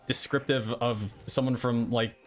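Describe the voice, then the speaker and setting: A man's voice: medium pitch, fairly even intonation, a little slow. A man streaming casually, rambling in a blunt, profane and hesitant way. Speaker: streamer reacting to another player's stream. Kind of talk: casual livestream chat, rambling. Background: music, another streamer's gameplay.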